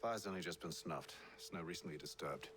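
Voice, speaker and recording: A man playing a composed, calculating English gentleman leader in a game cutscene, speaking in measured, clipped, coolly confident phrases. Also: low voice, noisy background, close to an everyday voice. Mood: calm, focused. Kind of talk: video game cutscene acting.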